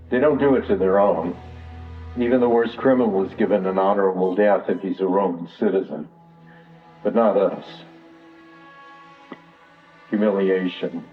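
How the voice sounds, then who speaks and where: A man playing a bitter, penitent crucified thief in a church Passion drama, speaking in solemn, deliberate monologue. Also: lowish voice, lightly acted. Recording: echoey, dull sound over music.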